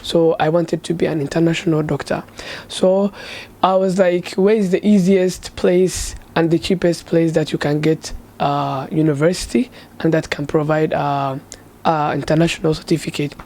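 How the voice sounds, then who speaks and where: A man in his twenties from Somalia, a medical student giving casual, halting answers full of "like". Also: on the high side, steady tone, a little mellow. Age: 20s. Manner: hesitant, filler-heavy, frequent "like". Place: student dormitory room.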